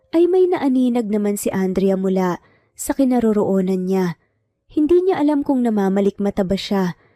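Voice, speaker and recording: A woman's voice: mid-range voice, warm tone, no room sound. A woman with a Filipino accent reading a scripted romance story as narrator. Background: background music.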